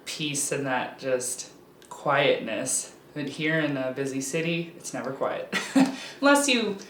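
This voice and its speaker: A woman's voice: extremely low pitch, lively intonation, takes it slowly, a bit bright, slight background noise. A woman in her thirties from Alaska, a guest in a casual interview, talking candidly with frequent ums and restarts. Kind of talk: casual conversation. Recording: roomy echo, faint background ambience.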